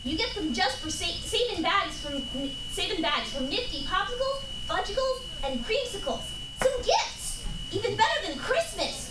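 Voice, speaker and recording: Gushing boy radio pitchman , female performer, very high lively voice, echoey noisy room. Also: normal speed. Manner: gushing, gee-whiz exclamations. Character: eager, wholesome all-American boy radio pitchman. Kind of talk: 1940s radio advertisement acting. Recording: echoey noisy convention room, distant mic.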